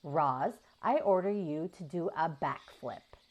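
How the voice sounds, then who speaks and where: A woman's voice: low-pitched, slow pace. A woman voicing a bored, curious young farm girl in a storybook, playful and demanding. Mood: eager.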